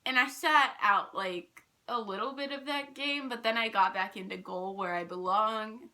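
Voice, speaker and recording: A young woman telling personal stories in a casual, animated, rambling and self-deprecating way. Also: a little high, moderate pace. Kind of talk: casual storytime chat.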